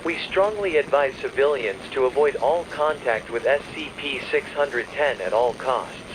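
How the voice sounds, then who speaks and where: Man reading an emergency alert over loud noise. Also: medium pitch. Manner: formal, measured, official announcement delivery. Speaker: emergency broadcast announcer. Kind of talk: scripted emergency alert narration.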